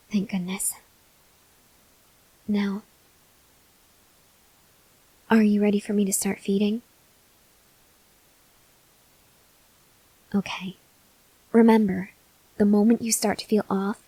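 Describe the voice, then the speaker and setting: A woman playing a gentle, awkward, hungry female vampire in an ASMR roleplay, speaking softly and hesitantly with breathy near-whispers and tender reassurance. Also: mid-range voice, level tone, slow, pauses often, no echo, poor audio. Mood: relieved, reassuring. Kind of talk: ASMR roleplay, intimate address to the listener.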